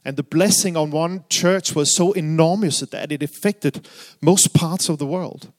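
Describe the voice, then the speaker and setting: A man's voice: on the high side, measured pace, darkish tone. A middle-aged man from Denmark with a Danish accent, preaching earnestly with frequent fillers and restarts. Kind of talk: sermon.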